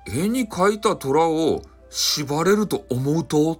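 A man's voice: on the high side, expressive, takes it slowly, slightly rough, soft background music, pitched above the performer's own voice, full character voice. A man voicing a clever, cheeky boy monk in a folktale, confident and teasing, with a Hakata accent. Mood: mocking.

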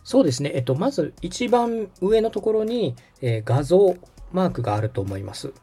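Man, mellow tone, animated pitch, patient tutorial delivery, polite, background music. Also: normal speed, slightly rough. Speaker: host and radio director guiding a setup. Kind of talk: conversational step-by-step tutorial.

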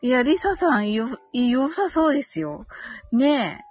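High-voiced lady on a casual livestream, polite and agreeable, lots of little mm-hms, music in the background. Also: sing-song, a little slow, darkish tone, no room sound. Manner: polite, agreeable, frequent backchannel murmurs. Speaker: live audio stream host reading listener comments. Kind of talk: casual live-stream chat. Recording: music playing behind the voice.

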